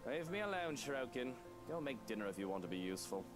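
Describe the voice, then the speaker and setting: A man's voice: mid-range voice, very animated, fast talker, over music, natural voice. A man voicing a brooding, guilt-ridden young lord in a visual novel game, cold and curt. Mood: cold irritation.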